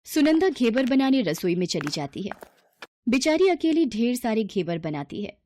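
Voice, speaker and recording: A woman's voice: fairly high pitch, sing-song, normal speed, mellow, slight background noise. A woman storyteller giving scripted narration of a moral bedtime tale.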